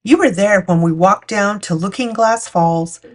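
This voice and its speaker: A woman's voice: mid-range voice, a little slow. A woman narrating a picture book for children as a storytime host. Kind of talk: storytime read-aloud narration.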